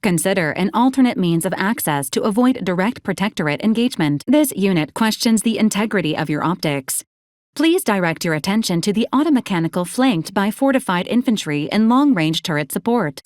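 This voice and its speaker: Female robot companion voiced by an actress for a game: mid-pitched, formal, clipped, deadpan, dryly cautious, very close dry recording. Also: normal speed, dry recording, lightly acted. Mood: dryly advising. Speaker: companion unit reporting to a commander. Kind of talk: scripted game voice lines, formal robotic advisories.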